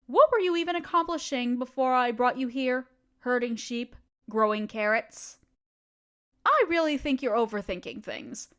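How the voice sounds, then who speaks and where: A woman's voice: high voice, fairly bright tone, exaggerated voice. A woman voicing a ruthless, scheming evil queen in an audio roleplay, speaking in a condescending, sardonic, commanding way. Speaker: voice actor.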